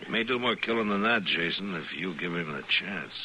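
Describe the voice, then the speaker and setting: An actor playing a weary, level-headed western marshal in an old-time radio drama, with a dry, unhurried, laconic delivery. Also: male voice, sits low, lively intonation, pushed and bright, exaggerated voice, low-quality recording. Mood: measured, grave. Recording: old radio recording, faint hiss.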